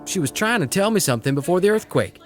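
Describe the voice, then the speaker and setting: An actor playing an intense, suspicious romantic rival in an audio soap drama. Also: male voice, high-pitched, big pitch swings, fast talker. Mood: insistent. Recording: music clearly under the voice.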